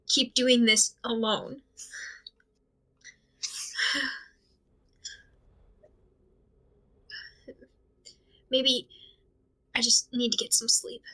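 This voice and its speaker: A woman acting in an audio drama as an anxious, overwhelmed amateur investigator, talking through worries alone in a halting, stammering, rambling way. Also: on the high side, bright, forceful tone, poor audio. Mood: weary, overwhelmed. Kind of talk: audio drama monologue, recorded diary.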